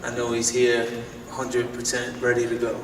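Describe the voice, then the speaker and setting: A man, a boxer, answering press questions plainly with halting repeats. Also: medium pitch, monotone. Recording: echoey hall, far from mic, noisy.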